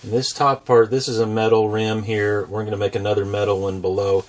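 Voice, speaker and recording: A middle-aged American man with a fairly low voice gives a step-by-step drawing lesson. He explains things simply and patiently, as if for children. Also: little pitch movement, a little fast, low-quality recording. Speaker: drawing instructor and show host.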